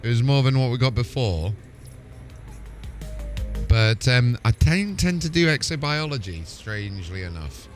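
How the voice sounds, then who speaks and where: A man's voice: mid-range voice, lively intonation, a little slow, long pauses. A man hosting a gaming livestream, chatting casually in an informal, rambling way. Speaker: game livestream host. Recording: music playing behind the voice. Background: music.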